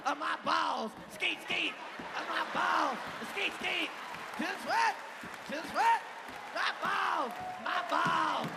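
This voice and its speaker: A man in his thirties performing a loud stand-up comedy set on stage, shouting punchlines and chanting lyrics. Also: very high voice, takes it slowly, creaky. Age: 30s. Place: large venue on stage. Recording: live hall with loud crowd and noise.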